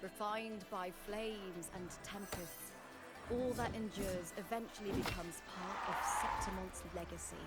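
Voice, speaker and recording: Woman playing a proud gladiator over loud music. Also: medium pitch, steady tone, a little slow, close to an everyday voice. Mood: solemn, recounting. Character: eager proud female gladiator warrior, battle-hungry comrade. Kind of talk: video game voice acting. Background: loud game music.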